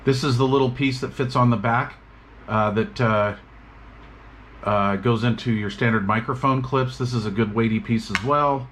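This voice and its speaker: A man giving a relaxed, casual review of radio gear, chatting with plenty of fillers as he works at a tabletop. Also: mid-range voice, moderate pace, slight background noise.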